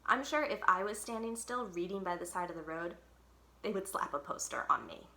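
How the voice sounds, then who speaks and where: A woman's voice: on the low side, expressive, brisk, vocal fry, sharp tone, reverberant. A woman reading a children's book aloud expressively, leaning on key words with dramatic emphasis. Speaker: library read-aloud storyteller.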